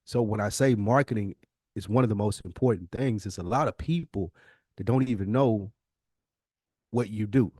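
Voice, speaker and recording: A man's voice: lowish voice, normal speed, warm tone, breathy edge, no room sound, studio quality. An American man co-hosting a podcast, talking casually in long, emphatic, opinionated explanations. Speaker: podcast co-host. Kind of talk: casual conversational discussion.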